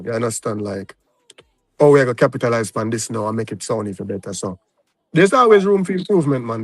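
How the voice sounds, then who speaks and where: A man's voice: medium pitch, no echo. A young adult man from Jamaica with a Jamaican accent, talking casually as an interview guest and often checking in with "you understand?". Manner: laid-back, peppers talk with "you understand?". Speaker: recording artist guest.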